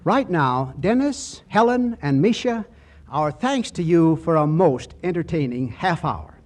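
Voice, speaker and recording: A man's voice: on the high side, expressive, unhurried. A man hosting a scripted 1940s radio program, heard on a faintly noisy old broadcast. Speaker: host.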